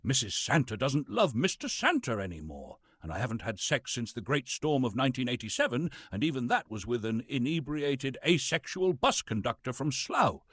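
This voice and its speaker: Man playing a pompous, husky British thespian, swooping theatrically. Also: mid-range voice, big pitch swings, moderate pace, few pauses, a bit bright, dry recording, studio quality. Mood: bitter, indignant. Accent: British English. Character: pompous, bitter old Shakespearean actor reduced to reading science. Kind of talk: theatrical dramatic reading.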